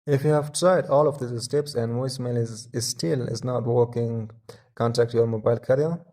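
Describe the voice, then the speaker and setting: Guy doing a how-to tech walkthrough, relaxed dark voice, bit halting between steps. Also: mid-range voice. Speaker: tutorial host. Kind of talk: step-by-step tech tutorial narration.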